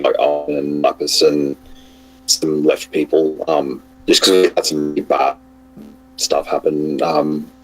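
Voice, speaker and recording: A very deep-voiced man speaks through a husky, hoarse throat from a cold. He talks casually on a home call, hesitating and restarting his sentences often. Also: rough recording. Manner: hesitant, many fillers and restarts. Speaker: guest. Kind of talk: casual online chat.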